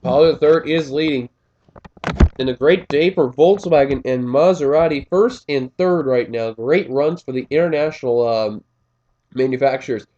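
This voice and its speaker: A man's voice: mid-range voice, moderate pace, dark tone, highs cut off. Scratchy-voiced man calling a race. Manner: casual, rambling asides with frequent fillers and self-corrections. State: slightly scratchy voice. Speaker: race commentator. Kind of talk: play-by-play commentary of a simulated race.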